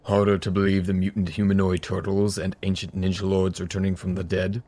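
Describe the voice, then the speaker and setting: A man playing a scholarly professor gravely telling ancient lore in an audio drama, measured and erudite. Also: deep voice, completely flat delivery, dark tone. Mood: grave, intrigued. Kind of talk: fan audio drama acting.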